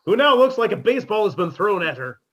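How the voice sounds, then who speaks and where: A man's voice: high voice, big pitch swings, fast talker, fairly bright tone, dull audio, full character voice. A man playing a sardonic, heckling boarder who makes dry wisecracks in a radio comedy. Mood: sardonic, needling. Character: sardonic male wisecracking boarder called Maestro. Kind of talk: 1950s radio comedy acting.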